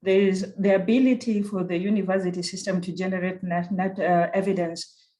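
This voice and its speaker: An earnest speaker with a Kenyan accent presents at an online conference in a mid-range voice. The delivery is reflective and unhurried, often circling back to rephrase a point. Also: medium pitch, little pitch movement, warm tone. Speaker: conference speaker, university program director.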